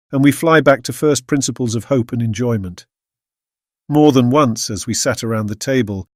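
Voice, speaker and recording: An actor playing a thoughtful Victorian gentleman doctor, narrating his diary in a measured, formal, reflective manner for an audiobook drama. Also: medium pitch, no room sound, clean studio sound, close to an everyday voice.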